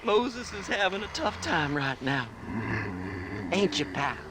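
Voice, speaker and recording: Casting: deranged, affectionate western misfit, game. Slow, crooning, mocking, wildly animated; noticeable background noise. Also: male voice, sits high, exaggerated voice. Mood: giddy, friendly. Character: unhinged, giddy, creepily affectionate male western oddball. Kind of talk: dramatic video game cutscene acting. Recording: clear background noise under dialogue.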